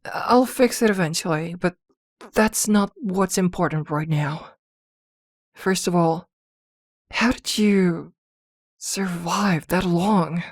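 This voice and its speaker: A woman playing a possessive, protective witch girlfriend in an intimate ASMR roleplay, doting yet jealous, with hesitant pauses. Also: fairly low pitch, dry recording, clean studio sound. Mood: flustered, exasperated.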